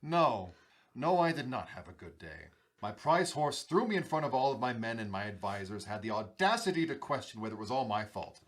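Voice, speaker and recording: An actor playing an irritable, imperious, proud sultan in a radio drama, with a pompous, blustering manner. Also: male voice, mid-range voice, very animated, fairly quick. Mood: indignant.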